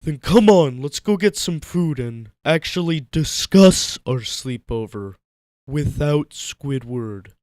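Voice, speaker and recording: Man doing a plaintive cartoon starfish, raised pitch. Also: expressive, slow, darkish tone, no room sound, clean studio sound. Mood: firm. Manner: plaintive, reproachful. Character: exasperated, needy, caring chubby cartoon starfish best friend. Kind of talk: dramatic fan fiction reading.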